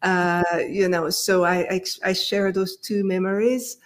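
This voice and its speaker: A woman's voice: lowish voice, level tone, a little slow. Belgian woman, French accent, hesitant. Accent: French-speaking Belgian. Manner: hesitant, many fillers and restarts. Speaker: interview guest. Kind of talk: casual conversational interview.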